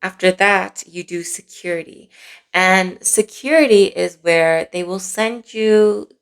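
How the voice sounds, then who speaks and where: A woman vlogger chatting casually and playfully through a personal story, sounding a little sleep-deprived, with animated asides. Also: mid-range voice, creaky, smooth. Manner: playful, animated asides with giggles and drawn-out words.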